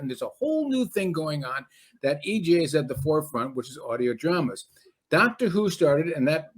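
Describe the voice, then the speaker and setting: A man hosting a podcast, introducing and interviewing in a conversational style. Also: mid-range voice. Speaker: podcast host.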